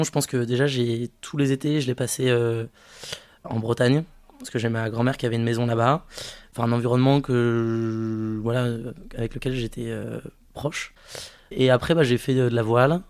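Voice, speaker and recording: Flat-voiced young man, hesitant. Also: mid-range voice, clean tone, dry recording. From French Guiana. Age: 20s. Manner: hesitant, thinking aloud with many pauses. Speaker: podcast guest. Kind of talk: casual interview testimony.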